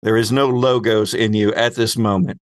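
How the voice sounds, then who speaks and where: A man narrating a tabletop roleplaying game as game master, describing scenes in a deliberate storyteller's way. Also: fairly low pitch, fairly even intonation, no echo, studio quality.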